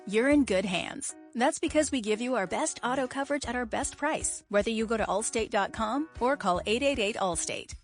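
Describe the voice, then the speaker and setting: A woman with an American accent reading scripted advertising voice-over. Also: medium pitch. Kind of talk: scripted commercial narration. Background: faint music.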